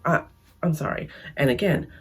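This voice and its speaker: A Canadian woman talks to her viewers in a chatty, emphatic vlog, her voice gravelly and raspy from losing it. She restarts her sentences often as she makes her point. Faint music plays behind her. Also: low-pitched, normal speed. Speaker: vlogger. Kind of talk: casual opinion vlog.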